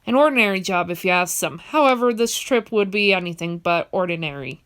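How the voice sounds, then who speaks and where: A young woman reading a fan comic dub in a steady first-person storytelling narration. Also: fairly low pitch, brisk, clean tone.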